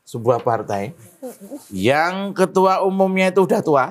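A man's voice: high-pitched, very animated, unhurried. A man playing a boastful, mock-solemn middle-aged Javanese fortune-teller in improvised comedy banter, with a Javanese accent and a habit of repeating himself. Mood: mock-solemn prophecy. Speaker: guest fortune-teller on a comedy talk show.

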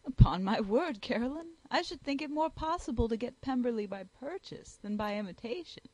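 A woman reading aloud, voicing a cheerful, easygoing young gentleman in a hearty manner. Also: a little high, lively intonation, moderate pace, no echo, rough recording.

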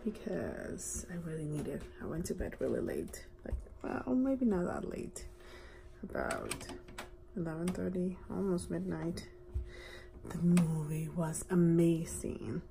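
A woman's voice: fairly low pitch, sing-song, unhurried, creaky, dark tone, a little hiss or hum. A middle-aged woman, chatting casually to camera at home and switching between Spanish and English. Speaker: vlogger. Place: at home indoors, later in a car. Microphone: phone or camera at arm's length.

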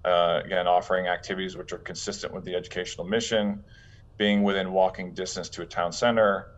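Deep-voiced man presenting over muffled call. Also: level tone, fast talker. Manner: plain, businesslike. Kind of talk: presenting a proposal at a public meeting. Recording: dull lo-fi video call audio.

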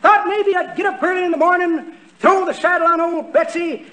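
A spry old-timer in a 1940s radio comedy. The voice is extremely high for a man and sharply bright. He rambles on in a folksy, chatty way, like someone spinning tall tales for the youngsters. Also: fairly quick. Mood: jovial, rambling. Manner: folksy, rambling, calls others kids and daughter.

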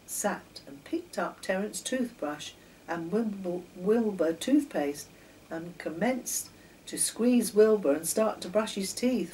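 Older woman reading a storybook, lively pitch. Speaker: author reading her own children's stories. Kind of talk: children's storybook narration. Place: home kitchen, indoors. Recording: distant mic, noticeable background noise.

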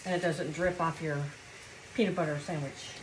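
A woman's voice: low voice, steady tone, normal speed. Woman coaching a kid cook, echoey kitchen. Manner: patient, coaching and explaining step by step. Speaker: family member filming and guiding a child cook. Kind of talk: casual instructional home cooking commentary. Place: home kitchen, indoors. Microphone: phone camera held by the speaker off screen. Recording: echoey kitchen with clear background noise.

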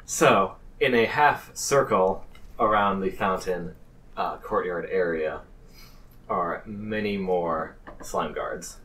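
Slow low-voiced man as game master. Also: fairly bright tone, a little hiss or hum. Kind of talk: narrating a tabletop game. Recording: distant microphone.

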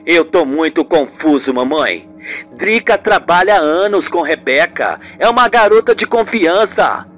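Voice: mid-range voice, expressive, a little slow, a bit bright, soft background music. A performer voicing an upset, doubtful grown man, a son in a radio soap, plaintive and questioning. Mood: confused. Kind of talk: radio soap opera acting.

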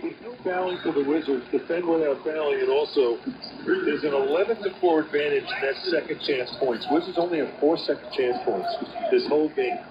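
A man's voice: on the high side, fairly quick. A man giving live basketball commentary as a radio broadcaster. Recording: dull, noisy AM radio reception.

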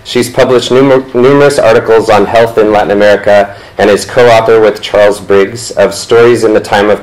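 Guy in his 30s reading out panel bios, lowish voice, trips over a word now and then. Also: a little mellow, low-quality recording. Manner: reads from notes, stumbles and self-corrects. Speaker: event moderator introducing panelists. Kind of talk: reading speaker introductions. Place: lecture room, indoors.

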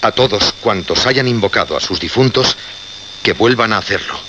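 An actor with a Castilian accent playing a grave, anguished programme director in a radio drama, insistent and repeating phrases for emphasis. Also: male voice, on the low side, normal speed, natural voice. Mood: solemn, pleading. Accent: Castilian Spanish. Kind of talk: Spanish radio drama acting. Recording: dull, noisy radio recording.

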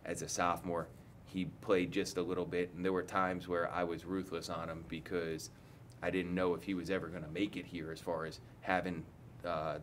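A man's voice: low-pitched, a little hiss or hum. A man in his forties, a head coach, giving candid, rambling post-game answers full of restarts, seated at a table mic in an indoor press room.

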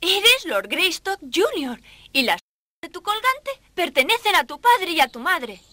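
An actress playing a gentle, earnest young lady in a cartoon, speaking softly. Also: very high voice, very animated, unhurried, slight background noise, heavily acted. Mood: convinced. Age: young adult. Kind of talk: animated cartoon dub.

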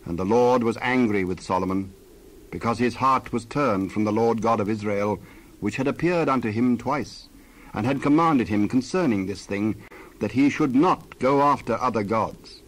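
A man narrating scripture as a scripted dramatized reading, measured and solemn, with careful phrasing. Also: mid-range voice, poor audio.

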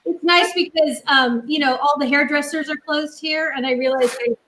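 A chatty middle-aged woman talks through a cooking demo from her home kitchen, rambling along with plenty of ums. Her voice sits fairly high. It comes over a webcam on a video call and bounces around an echoey room. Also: moderate pace, fairly bright tone, slight background noise. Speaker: guest cook. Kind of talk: casual cooking demo chat. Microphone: laptop webcam on a video call.

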